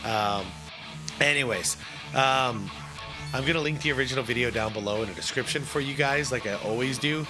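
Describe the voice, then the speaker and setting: A man with a hoarse voice from a cold, chatting casually with many fillers as he hosts a reaction video. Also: medium pitch, moderate pace, music in the background.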